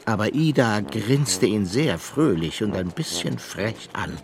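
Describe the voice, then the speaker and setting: An actor playing an expressive fairy-tale narrator, reading a scripted children's radio story. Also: male voice, lowish voice, a little slow. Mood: storytelling. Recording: studio radio play with music.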